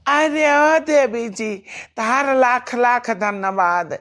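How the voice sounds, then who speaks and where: A woman's voice: fairly high pitch, takes it slowly, fairly bright tone. A woman voicing a grateful, reverent villager with an Awadhi accent in a comedy cartoon.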